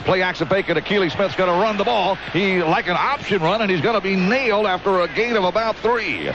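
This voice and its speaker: A man's voice: sits high, a little fast. American male football play-by-play caller, emphatic and clipped, dull broadcast sound with heavy noise behind. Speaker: radio play-by-play announcer. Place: outdoor stadium broadcast booth. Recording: dull radio broadcast, loud stadium noise. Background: loud stadium ambience.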